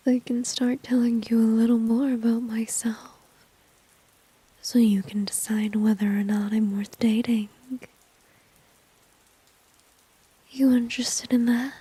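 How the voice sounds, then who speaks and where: A woman plays a dominant villainess in an intimate ASMR roleplay, her voice a very breathy, soft whisper. She speaks slowly and smugly, coaxing and teasing with flirty confidence. The sound is close and dry. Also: fairly high pitch, dry recording, low-quality recording. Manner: slow, teasing, smug, coaxing.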